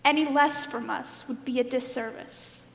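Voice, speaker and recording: A woman's voice: high voice, fairly even intonation, moderate pace, creaky, slight background noise. A woman on stage playing a wounded, defiant juror who survived bullying, speaking with pleading emphasis in a play monologue. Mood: resolute. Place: live stage performance in a hall. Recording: echoey hall, long reverb.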